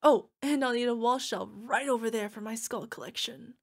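A woman acts out a young orc girlfriend in an audio roleplay. Her voice is fairly high, and she is gushing, needy and playful. She is fierce yet cutesy, speaking intimately to a partner. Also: moderate pace, vocal fry. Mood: excited, playful. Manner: gushing, emotional, playful, talking to an unheard partner. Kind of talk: ASMR girlfriend roleplay acting.

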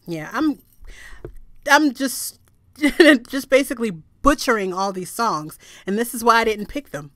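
A young woman chatting casually to her webcam in a small room, laughing at herself and breaking into bits of song, with a low register voice. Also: lively intonation, unhurried, a bit bright, no room sound, clean studio sound. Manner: giggly, self-deprecating, breaks into song. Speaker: vlogger, singer. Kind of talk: casual chat to camera, singing snippets.

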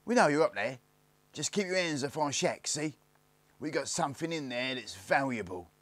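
A man voicing the bossy, scornful eldest boy of a gang in a storybook, with rough colloquial speech and a sneering, snapping manner. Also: fairly high pitch, expressive, normal speed, a bit bright, no echo, pitched above the performer's own voice. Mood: taunting.

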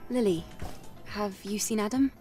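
Casting: actress for composed female fighter in game cutscenes, medium pitch, polite and businesslike, faint music behind. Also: normal speed, far from the mic, natural voice. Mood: calm, polite. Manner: reserved, matter-of-fact, polite. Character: composed, resolute young female soldier. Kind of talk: video game cutscene dialogue.

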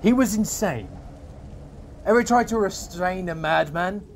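A man's voice: high-pitched, unhurried, creaky, slight background noise. A man playing a blunt, coarse and agitated expedition member in an audio drama. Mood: agitated, insistent. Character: gruff, angry male expedition man, blunt and suspicious.

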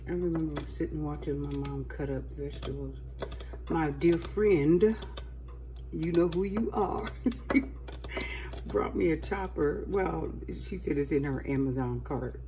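A woman's voice: low-pitched, dark tone, slight background noise. A middle-aged woman talking casually and encouragingly while prepping food at her kitchen counter, filmed on a phone. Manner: talkative, encouraging, chatty while chopping vegetables. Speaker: home cooking vlogger. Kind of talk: casual chat while cooking. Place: home kitchen counter at night, indoors.

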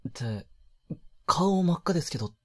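A male voice actor playing a polite, flustered young man in a romantic voice drama, gentle and hesitant, with a soft breathy delivery. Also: on the high side, animated, normal speed. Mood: flustered, apologetic. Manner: hesitant, bashful, apologetic, gentle.

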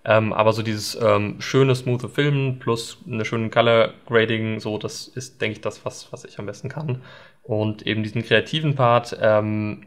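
A man's voice: lowish voice, steady tone, a little slow. A young man talking as a podcast guest, thoughtful and hesitant, with many filler ums. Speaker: guest, videographer. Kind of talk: casual interview chat. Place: indoor studio set at a desk.

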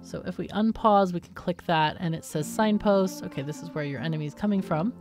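Lowish-voiced woman, casual game commentary. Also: brisk, music in the background. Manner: explanatory, thinking aloud. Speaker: gaming video host. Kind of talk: casual let's-play commentary.

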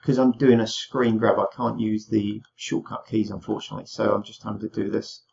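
A young man, slightly hoarse from a cold, talking through a screen-share tutorial in a relaxed way with many ums and uhs. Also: fairly low pitch, brisk. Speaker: crypto tutorial host. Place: small room indoors. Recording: dull lo-fi home recording.

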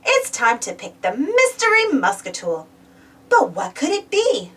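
A woman narrating a children's read-aloud storybook in an animated, expressive manner. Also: fairly high pitch, unhurried, breathy edge, far from the mic.

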